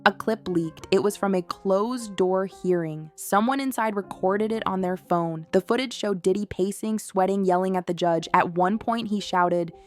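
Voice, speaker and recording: A woman's voice: mid-range voice, normal speed, few pauses. A woman reading a scripted, dramatic gossip exposé in short emphatic phrases, as a narrator. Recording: music bed under the voice. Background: background music.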